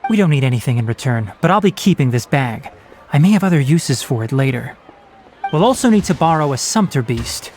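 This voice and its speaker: An actor playing a calm, scholarly young forest ranger in a game, speaking in a measured, analytical way. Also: male voice, medium pitch, faint music behind, lightly acted. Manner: measured, analytical, slightly dry. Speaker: forest watcher. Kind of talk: game cutscene dialogue.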